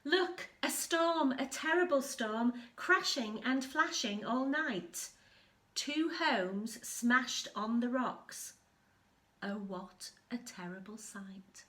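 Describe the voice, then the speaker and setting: A woman reading a picture book aloud to young children, expressively, pausing to explain things as an infant school storyteller. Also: a little high, expressive, fairly bright tone, a little hiss or hum.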